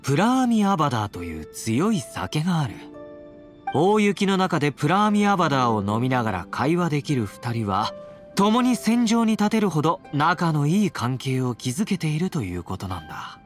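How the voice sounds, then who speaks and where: Breezy, animated young warrior. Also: male voice, fairly high pitch, moderate pace. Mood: confiding. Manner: breezy, teasing, smooth-talking. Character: confident, playful young male warrior, affectionate companion. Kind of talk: Japanese anime-style game voice acting. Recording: game audio with background music.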